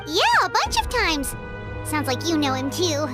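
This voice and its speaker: A woman voicing a tiny, childlike fairy companion in a video game, squeaky, excitable and blunt. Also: unhurried, loud music behind the voice, full character voice. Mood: surprised, inquisitive.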